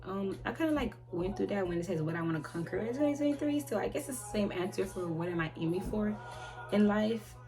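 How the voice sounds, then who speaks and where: A woman in her twenties answering viewer questions in a rambling, halting way, often restarting and trailing off. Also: mid-range voice, expressive. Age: 20s. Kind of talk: casual chat. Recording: loud music playing behind her.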